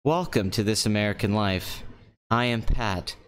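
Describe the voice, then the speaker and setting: A young man putting on the voice of a calm, measured public-radio show host. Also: fairly low pitch, slight background noise, close to an everyday voice. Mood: mock-solemn.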